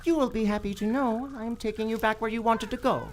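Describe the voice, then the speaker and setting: A woman's voice: a little high, steady tone, close to an everyday voice. Woman playing a solemn, menacing island captor. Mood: knowing, menacing. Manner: measured, formal, cryptic. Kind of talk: live radio theater acting. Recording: live stage recording, faint background noise.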